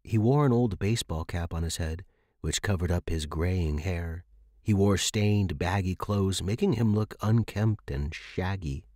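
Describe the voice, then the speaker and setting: A man's voice: low-pitched, unhurried, dark tone, no room sound, studio quality. A man reading a story aloud as a scripted, dramatic fiction narration. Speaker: audiobook narrator.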